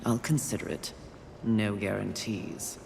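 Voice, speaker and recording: A woman's voice: a bass voice, steady tone, measured pace, gravelly, noisy background, heavily acted. An actress playing a proud, impatient female warrior in a video game, speaking in a curt, defiant way. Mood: curt suspicion. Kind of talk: dramatic video game acting. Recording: reverberant, loud music behind.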